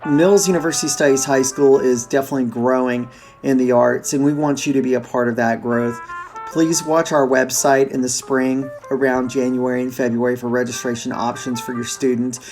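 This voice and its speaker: A man speaking as host and theater director, giving an earnest, halting welcome speech. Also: mid-range voice, fairly even intonation, darkish tone, soft background music.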